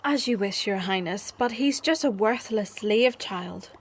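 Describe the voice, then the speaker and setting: An actress playing an obedient but scornful attendant to a princess in a radio drama, deferential and cautioning, slightly husky. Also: female voice, medium pitch, a little slow. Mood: deferential, disapproving.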